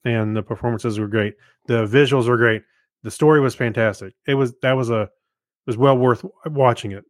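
A man's voice: medium pitch, fairly quick, no echo, studio quality. A man co-hosting a podcast with enthusiastic, easygoing, casual banter. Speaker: podcast co-host. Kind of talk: casual chat.

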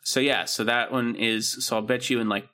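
A man's voice: medium pitch, fairly even intonation, normal speed, pushed and bright. A young man co-hosting a podcast, chatting in a laid-back, rambling way with plenty of ums. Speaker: podcast co-host. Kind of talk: casual chat.

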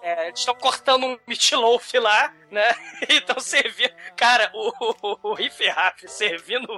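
A man's voice: extremely high pitch, a little slow, bright, forceful tone, faint music behind. A Brazilian man recapping a film casually on a podcast panel, enthusiastic, crude and full of slang.

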